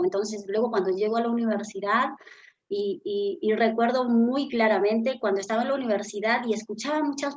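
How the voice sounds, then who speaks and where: Peruvian woman, a bit high voice, earnest and reflective, kinda muffled audio. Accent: Peruvian Spanish. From Peru. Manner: earnest, reflective storytelling with frequent fillers. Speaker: guest, business consultant. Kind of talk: interview conversation.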